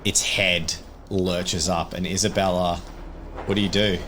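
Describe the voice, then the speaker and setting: A man's voice: deep voice, little pitch movement, measured pace, noisy background. A man acting as game master, narrating a tabletop roleplaying game and calling out rulings. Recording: music behind the voice. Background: music.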